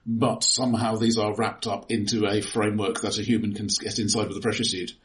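A man's voice: lowish voice, little pitch movement, a little fast, a bit bright. A man co-hosting a conversational film discussion, explaining technical points analytically and often restarting his words. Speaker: podcast co-host.

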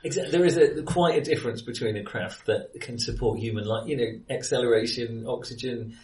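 A man's voice: mid-range voice, a little fast, darkish tone. A man co-hosting a casual film discussion, thinking aloud in broken, nitpicking phrases. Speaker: podcast co-host. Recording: roomy echo, far from microphone.

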